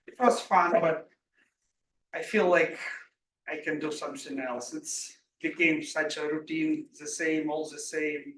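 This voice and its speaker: A middle-aged man from Ukraine with a Russian accent gives an informal technical workshop talk, haltingly and often clearing his throat. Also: on the high side, steady tone, fairly bright tone. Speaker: workshop presenter, security engineer. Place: indoor room at a live workshop. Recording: roomy echo, distant mic, faint noise.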